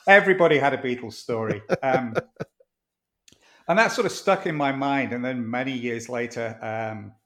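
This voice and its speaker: A man's voice: medium pitch, normal speed, fairly bright tone. A man in his sixties from near Manchester with a northern English accent, telling stories as an interview guest in a rambling, chatty way with many ums. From northwest England, near Manchester. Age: 60s. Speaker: author and podcast guest. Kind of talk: casual interview chat.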